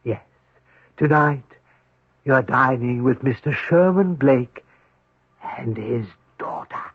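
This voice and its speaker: An actor in a 1970s radio drama playing a sly, obsequious supernatural servant, speaking in a formal, insinuating, husky way. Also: male voice, mid-range voice, expressive, slow, exaggerated voice. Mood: sly, commanding. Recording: dull, dry old radio recording.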